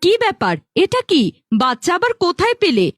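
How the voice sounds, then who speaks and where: High-voiced, sharp-tongued doting mother played by a woman. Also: lively intonation, moderate pace, no room sound, clean studio sound, lightly acted. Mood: annoyed, harsh. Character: sharp-tongued doting mother, indulgent of her spoiled daughter. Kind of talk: cartoon fairy-tale voice acting. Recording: faint background music.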